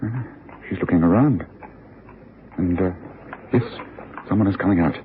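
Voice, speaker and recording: An actor playing a shrewd, secretive British gentleman detective with a brisk, authoritative manner in old radio drama style. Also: sits low, lightly acted. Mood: brisk, commanding. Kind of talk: 1950s-60s radio drama acting. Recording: muffled, noisy old radio recording.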